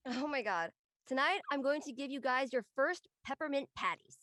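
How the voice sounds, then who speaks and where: Woman playing earnest young college friend in an online drama; slightly high, conversational voice, close and dry. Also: expressive, moderate pace, a bit bright, dry recording. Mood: playful, bossy.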